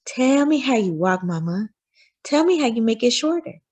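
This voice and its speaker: A woman's voice: a little high, big pitch swings, normal speed, a little mellow, husky, pitched above the performer's own voice. A woman voicing a curious, tender young boy who asks earnest questions in a children's storybook read-aloud. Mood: curious, longing.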